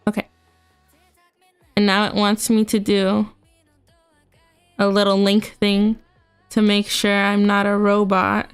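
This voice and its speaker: A woman's voice: medium pitch, monotone, takes it slowly, pauses often, smooth. A young woman with a congested voice, chatting casually and rambling with lots of fillers as a livestream host. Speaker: VTuber streamer. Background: faint music.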